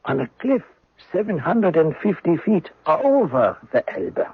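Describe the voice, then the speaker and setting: An actor playing a boastful middle-aged German army captain with a German accent in a radio drama. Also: male voice, fairly high pitch, sing-song, measured pace, breathy edge, dry recording, exaggerated voice. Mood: gloating. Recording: muffled old radio broadcast.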